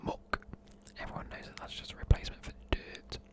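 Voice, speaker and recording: A man, whispering softly in a chatty, rambling way while explaining words. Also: fairly quick. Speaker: ASMR creator. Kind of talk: whispered ASMR explaining slang.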